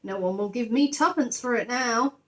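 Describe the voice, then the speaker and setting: A woman takes the part of a storybook building foreman with a raised, high voice. He is good-hearted and easily exasperated, speaking with incredulous emphasis. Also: moderate pace, fairly bright tone, natural voice. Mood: wailing. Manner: incredulous, complaining. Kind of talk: children's storybook character reading.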